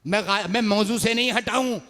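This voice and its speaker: A man with an Urdu accent delivering a majlis sermon, rising to shouts and sung phrases as he calls out to the gathering. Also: high-pitched, normal speed. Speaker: majlis orator. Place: large religious gathering hall. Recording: long hall reverb.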